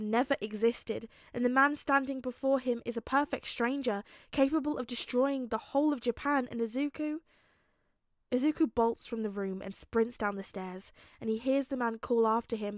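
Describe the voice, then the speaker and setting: A woman narrates an audiobook in a highish voice. Her delivery is dramatic and emotive, with halting pauses for effect. The sound is very dry, with hardly any room on it. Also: no room sound. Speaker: fan fiction audiobook reader. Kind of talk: expressive scripted narration, reading a story aloud.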